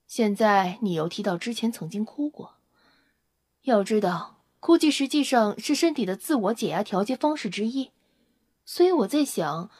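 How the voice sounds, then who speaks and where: Woman acting a brash, animated young-sounding vampire scientist. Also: a little high, dry recording, studio quality. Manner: emphatic, informal, unrefined. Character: brash, fiery female vampire scientist, proud and outspoken. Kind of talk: Chinese audio drama acting.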